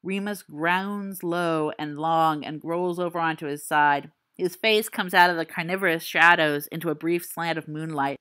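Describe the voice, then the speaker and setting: A woman reading a fan-written story aloud, with expressive, suspenseful storytelling. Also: deep voice, moderate pace, few pauses. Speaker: audiobook reader.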